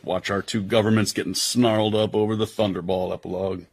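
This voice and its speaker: An actor with an American accent playing a tough, loyal intelligence agent in a radio drama, husky, wry and slangy. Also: male voice, fairly low pitch, level tone, a little fast. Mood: wry, breezy.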